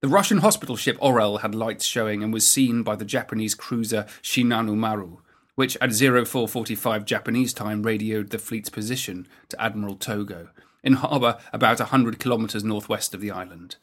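A man reading scripted historical documentary narration in a measured, factual way. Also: on the low side, fairly bright tone. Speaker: narrator of a naval history programme.

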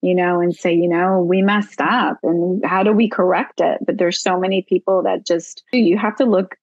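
Interview guest, woman, lowish voice, earnest reflective talk, dry and slightly dull sound. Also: steady tone, normal speed, a little mellow, dry recording. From United States. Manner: reflective, earnest, many fillers. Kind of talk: casual interview conversation.

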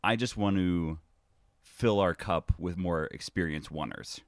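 A man guesting in a casual chat, with a loud voice and a laid-back, filler-heavy way of talking. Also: low-pitched, a little slow, no echo, clean studio sound. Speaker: guest, theater company member.

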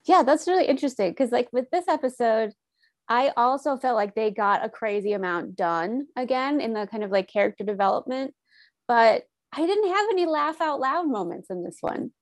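Chatty woman with a higher voice. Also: lively intonation, moderate pace, dry recording, studio quality. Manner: chatty and conversational. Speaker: podcast co-host. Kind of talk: casual chat.

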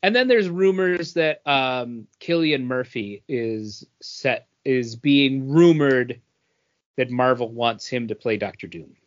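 Guy on a podcast rambling, lots of ums, middle pitch, kinda muffled lo-fi sound. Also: lively intonation, takes it slowly. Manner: rambling, many fillers and restarts. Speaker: podcast co-host. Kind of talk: casual chat.

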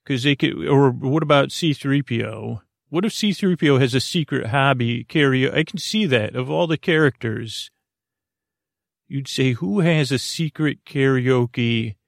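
A man with a creaky voice hosting a bedtime podcast, rambling in a meandering, halting, absent-minded way and often interrupting himself. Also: medium pitch, no room sound, studio quality. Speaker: podcast host.

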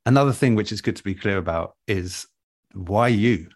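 A man in a relaxed interview, explaining things in a thoughtful, measured and earnest way as an experienced producer. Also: lowish voice, no echo, studio quality. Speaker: interview guest, audio drama producer. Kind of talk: relaxed advice-giving conversation.

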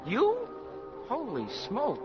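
Casting: naive gee-whiz college kid, high and very animated, slangy radio-comedy delivery, muffled vintage recording. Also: male voice, slow, over music, exaggerated voice. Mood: astonished. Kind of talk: 1940s radio comedy acting.

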